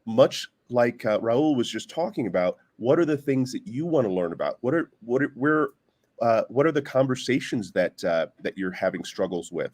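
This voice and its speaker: A man's voice: medium pitch, expressive, moderate pace, a little mellow. A man on a discussion panel explaining his view conversationally, often searching for words and posing questions as examples.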